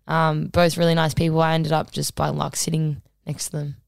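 Woman co-host, husky and low, laid-back rambling delivery, dry close recording. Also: normal speed, dry recording. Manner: relaxed, rambling, many ums. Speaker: podcast co-host. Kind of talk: casual podcast chat.

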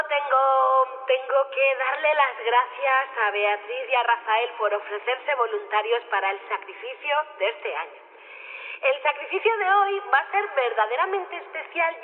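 A woman playing an earnest festival official in an audio drama, giving a formal, halting public speech in a Spain Spanish accent. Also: phone-quality sound, close to an everyday voice. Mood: solemn. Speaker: festival committee speaker. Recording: booming long reverb, thin PA-like sound.

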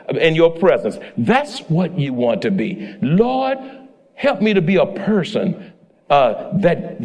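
A male pastor preaching a sermon, swinging between loud exclamations and low asides.

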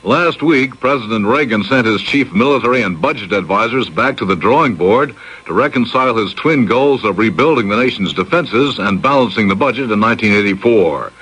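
A man's voice: on the low side, animated, fairly quick, fairly bright tone, husky, low-quality recording. An American man anchoring a radio newscast, reading scripted news.

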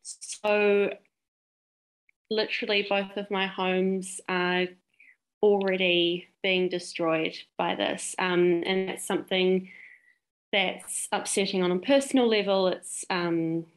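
Slow-spoken heartfelt young woman. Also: medium pitch, steady tone. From Greece. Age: young adult. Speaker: youth climate activist. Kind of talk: interview answers.

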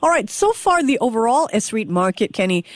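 A woman's voice: a little high, big pitch swings, brisk, no echo. A woman presenting as a radio host, moving between scripted lines and live interview questions on finance.

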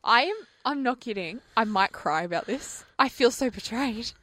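A woman hosting a radio show, talking in an expressive, incredulous way. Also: on the high side, normal speed, no echo. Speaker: radio host. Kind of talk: casual radio banter.

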